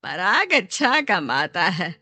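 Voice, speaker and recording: A woman's voice: fairly low pitch, very animated, takes it slowly, bright, forceful tone, no echo, heavily acted. A woman voicing a stern, commanding middle-aged mother-in-law in a storybook tale. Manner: scolding, commanding.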